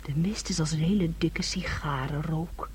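An actress in a Dutch radio play playing a moody, brooding young woman with a very breathy, husky voice. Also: low voice, normal speed, a little mellow, a little hiss or hum, natural voice. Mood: hushed, haunting. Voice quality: very breathy, husky, often near whisper. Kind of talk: Dutch radio drama acting.

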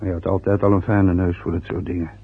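Radio drama: a pompous old dad, raspy and grumbling, full of his own importance, on a muffled old recording. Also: deep voice, little pitch movement, fast talker. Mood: gloating. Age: older. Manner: boastful, grumbling, self-important. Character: aggrieved, self-important, boastful older father, former ambulance driver. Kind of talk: radio drama acting, long rambling monologues.

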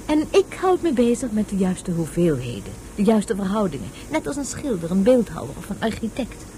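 A woman's voice: medium pitch, big pitch swings, brisk, mellow, slight background noise, natural voice, rough recording. An actress playing a patient woman tutor in a radio play, explaining things didactically as if to a child. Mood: emphatic. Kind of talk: Dutch radio play acting.

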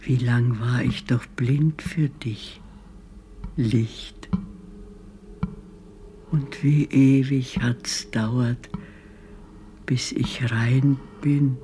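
An actress playing a rapt, mystical woman in a poetic radio drama monologue, hushed and reverent. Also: rumbling low, slight background noise, exaggerated voice. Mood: hushed devotion. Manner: hushed, reverent, often whispering. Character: rapt, mystical woman offering herself to divine light.

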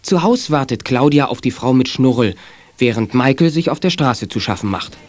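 A man narrating a German children's detective radio play in a measured, articulate storytelling manner. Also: mid-range voice, animated, darkish tone, close to an everyday voice. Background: faint music.

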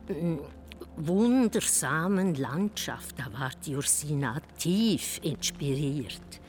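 An actress playing an intense, confessional woman in a reflective, halting first-person radio drama monologue. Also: low-pitched. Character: intense, confessional woman recalling fasting and youth. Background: music.

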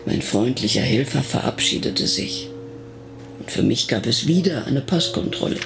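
A man with a gravelly voice narrating a radio drama in the first person, reminiscing in a conversational way. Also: mid-range voice, expressive, normal speed, over music.

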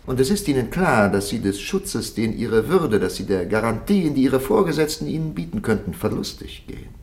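An actor playing a stern, polished interrogator in a 1950s German radio play, formal, measured and insistent. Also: male voice, mid-range voice, sing-song, a little mellow, lightly acted. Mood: formal warning. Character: stern, polished male interrogator pressing a silent prisoner.

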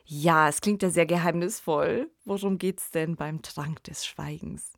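A woman's voice: low voice, fairly even intonation, unhurried, dry recording. A woman hosting a podcast, delivering a scripted introduction. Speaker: podcast host. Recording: dry studio with faint music. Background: faint music.

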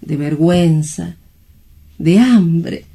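A woman's voice: fairly low pitch, extremely slow, vocal fry, warm tone, breathy edge, full character voice. A woman acting a frightened, desperate young woman worn down by loneliness, in dramatic radio-play style. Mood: bitter, sorrowful.

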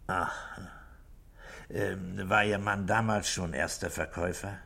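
An actor playing a calm, middle-aged police inspector in a radio drama, questioning in a measured, dry way. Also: male voice, low voice, vocal fry, exaggerated voice. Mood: probing. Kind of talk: 1960s German radio drama acting.

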